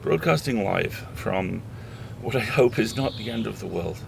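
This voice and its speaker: A man playing a weary but defiant radio host in an audio horror drama, speaking gravely and deliberately. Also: lowish voice, expressive, noisy background. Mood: weary, reflective.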